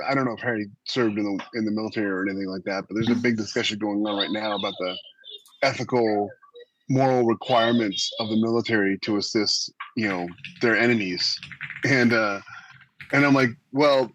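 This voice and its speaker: A man co-hosting a podcast in casual, jokey conversation, speaking in an animated, playfully exaggerated way. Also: fairly low pitch, no echo, rough recording. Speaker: podcast co-host.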